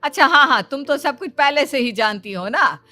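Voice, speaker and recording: A woman playing a kindly, curious middle-aged mother-in-law in a cartoon story, expressive and easily amazed. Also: high voice, normal speed, no echo, faint music behind. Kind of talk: Hindi cartoon story dubbing.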